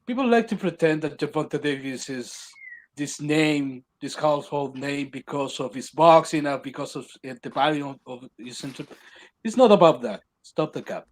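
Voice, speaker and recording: A man debating boxing who hesitates briefly and then presses his point firmly. His voice sits a touch high. He sounds casual but insistent. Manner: halting start, then firm and emphatic.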